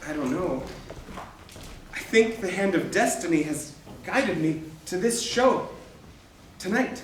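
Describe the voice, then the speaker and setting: A man's voice: high-pitched, expressive, takes it slowly, slight background noise. An actor, a man, playing a credulous, destiny-obsessed young dreamer in stage musical theatre, with an earnest delivery. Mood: awestruck. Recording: echoey stage, distant mic, music behind.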